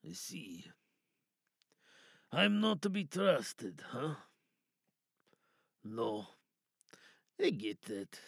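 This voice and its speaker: A man's voice: medium pitch, very animated, drawn-out words, gravelly, long pauses, breathy edge, no echo, studio quality, voice pushed down, heavily acted. A man playing a mysterious, morally ambiguous captive in an audio drama monologue, coaxing and insinuating with seductive charm. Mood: coaxing, guarded.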